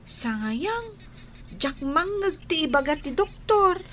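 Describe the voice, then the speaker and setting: Very high-pitched little girl. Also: sing-song, fairly bright tone, a little hiss or hum, exaggerated voice. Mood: earnest, pleading. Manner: childlike, slow, earnest delivery. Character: young girl, devoted sister, earnest and pleading. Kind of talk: Ilocano radio drama acting.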